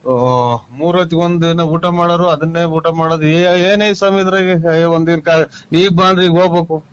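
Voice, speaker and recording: Man on a muffled phone call, high smooth voice, rural Tumakuru accent, rambling and baffled, protesting. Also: fairly even intonation, normal speed. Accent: rural Tumakuru Kannada. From Tumakuru district, Karnataka. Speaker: prank call recipient. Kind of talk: casual phone conversation.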